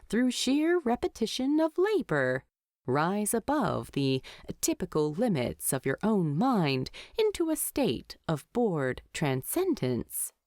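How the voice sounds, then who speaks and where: A woman's voice: on the low side, darkish tone, no room sound. A woman narrating a written story expressively into a close microphone.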